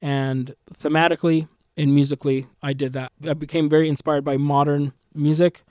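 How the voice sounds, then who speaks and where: A man with a fairly high voice, a native Arabic speaker, speaks reflectively in an interview, often restarting phrases and adding "you know". The sound is dry and close. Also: little pitch movement, fairly quick, darkish tone, no room sound. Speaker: musician guest. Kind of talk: interview conversation.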